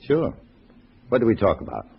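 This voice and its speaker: Deep-voiced, hard-boiled undercover agent. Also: male voice, wildly swooping pitch, moderate pace, vocal fry, breathy edge, exaggerated voice. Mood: cool, dismissive. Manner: terse, dry, wry. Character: hard-boiled undercover male agent posing as a communist comrade. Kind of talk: 1950s radio drama acting and first-person narration. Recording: muffled vintage radio recording, faint hiss.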